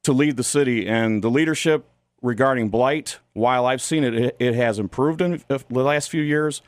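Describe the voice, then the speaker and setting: A man's voice: medium pitch, normal speed, no echo. An American man from Ohio speaking as a studio guest in a political radio interview, earnest and critical. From Toledo, Ohio, United States.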